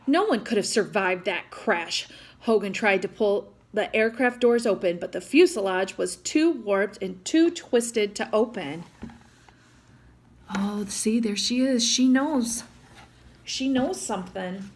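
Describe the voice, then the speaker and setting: A woman's voice: medium pitch, lively intonation, slow pace. A woman reading a children's picture book aloud, expressively, with chatty asides to young listeners. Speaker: storytime reader.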